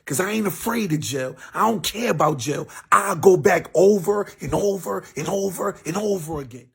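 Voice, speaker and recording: A man playing a swaggering, husky loudmouth. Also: high-pitched, normal speed, heavily acted. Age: 40s. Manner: swaggering, repetitive bragging chant. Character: boastful man over forty who brags about jail. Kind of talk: satirical skit monologue.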